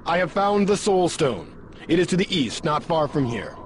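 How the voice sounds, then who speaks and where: Earnest, higher-pitched young-hearted hero. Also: male voice, very animated, normal speed, a little hiss or hum. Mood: helpful. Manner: formal, courteous, earnest. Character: earnest, polite heroic male warrior on a quest. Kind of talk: video game cutscene acting.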